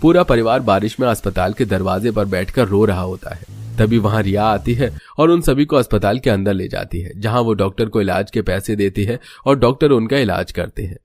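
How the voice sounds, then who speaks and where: A man's voice: fairly low pitch, normal speed, hardly any pauses, darkish tone. A man narrator reading a scripted story in a storytelling style.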